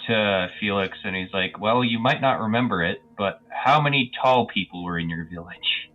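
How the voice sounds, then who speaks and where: A man's voice: on the low side, normal speed, muffled recording. A man chatting casually as a roleplay player, describing what his character does and says. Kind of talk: casual in-game chat. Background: music.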